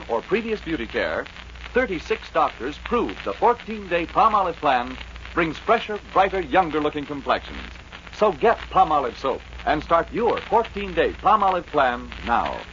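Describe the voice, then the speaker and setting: A male 1940s radio ad announcer, slightly high in pitch and very lively, pressing each point emphatically. The sound is muffled with light hiss. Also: normal speed. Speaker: commercial announcer. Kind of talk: 1940s radio advertising read, scripted.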